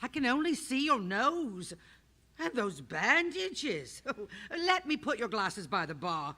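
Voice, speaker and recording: Actress as kindly flustered landlady, animated pitch, bright voice, fussy, deferential, radio drama. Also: takes it slowly, full character voice. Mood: fussing. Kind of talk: theatrical radio drama acting.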